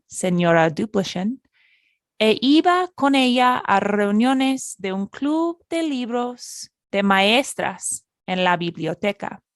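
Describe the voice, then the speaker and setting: Woman in her 30s reading a spanish lesson story, slow and careful, dry close sound. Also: medium pitch, no room sound. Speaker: Spanish teacher and podcast host. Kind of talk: scripted bilingual story narration for learners.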